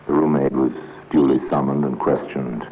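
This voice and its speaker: An extremely deep-voiced storyteller of an old radio crime series. He is measured and grave with a wry edge. The vintage recording is tinny, with some hiss. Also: level tone. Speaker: narrator. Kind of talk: 1950s radio crime drama narration.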